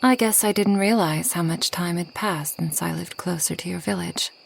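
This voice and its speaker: A woman playing a reclusive, ageless forest witch in a soft ASMR roleplay, gentle and wry, often slipping toward a whisper. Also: fairly low pitch, normal speed, creaky, a little hiss or hum. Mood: soft, wistful. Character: reclusive, centuries-old kind but proud forest witch.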